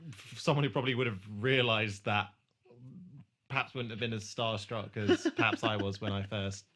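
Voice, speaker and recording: A man's voice: on the low side, fairly even intonation, moderate pace, a bit bright. A man hosting a podcast in casual chat, rambling, jokey and given to swearing. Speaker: podcast host.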